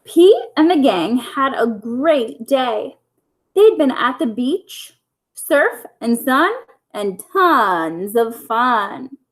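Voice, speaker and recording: Slow, high-voiced woman reading a children's story. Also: very animated. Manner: simple, deliberate phrasing for young children. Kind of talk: children's picture-book read-aloud.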